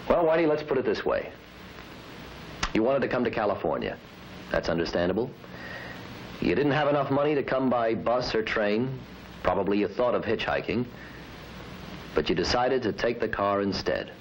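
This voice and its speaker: Investigator, low voice, lively inflection, firm and probing, old film drama. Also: male voice, moderate pace, pauses often. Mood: firm, reasoning. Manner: methodical, probing. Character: firm, patient male law officer questioning a suspect. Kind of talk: mid-century training film acting. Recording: noisy old film soundtrack.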